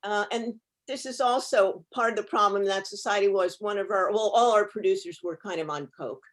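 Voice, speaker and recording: A woman speaks as a panel guest on a video call. She reminisces in a measured, articulate way, with wry understatement. Her pitch sits in an ordinary middle range. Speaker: panel guest, actress recalling her television career.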